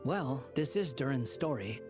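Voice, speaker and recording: A man's voice: medium pitch, animated, unhurried, over music, lightly acted. Sage played by a man for a game: pensive, composed, reasoning, mid-range voice. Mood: pondering. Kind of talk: video game voice acting.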